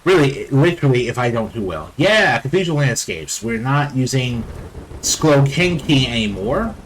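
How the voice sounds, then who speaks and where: A man's voice: medium pitch, sing-song, normal speed, a little hiss or hum, poor audio. A man giving casual let's play commentary, tossing sarcastic asides at the game's characters. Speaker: let's player. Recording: loud game music, rain behind.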